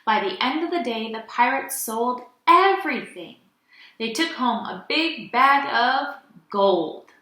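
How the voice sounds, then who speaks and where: Animated, bright-voiced woman reading to children. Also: on the high side, takes it slowly, reverberant. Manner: animated, addresses children as friends. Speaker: teacher reading aloud to children. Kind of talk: expressive children's storybook read-aloud.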